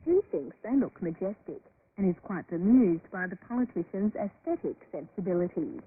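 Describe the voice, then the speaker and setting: A woman narrates a scripted radio news report. Her voice sits at an average pitch. It arrives over shortwave, thin and phone-like, with a faint bed of noise. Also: lively intonation, moderate pace. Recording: noisy narrowband shortwave radio broadcast.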